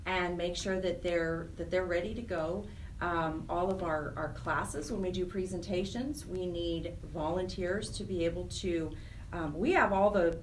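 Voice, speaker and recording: A woman with a fairly low voice answers interview questions as a nonprofit program director. She is earnest and emphatic, often repeating words for stress. She sits a little off the mic, with faint noise behind her. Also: distant mic, low-quality recording.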